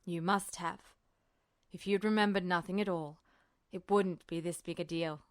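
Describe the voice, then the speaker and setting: A woman voicing an older military man, a smug colonel who talks in a measured, earnest, insistent way, in an audiobook story. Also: lowish voice, fairly even intonation, dry recording, clean studio sound, voice pushed down, close to an everyday voice. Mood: urgent. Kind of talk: fan fiction audiobook dialogue.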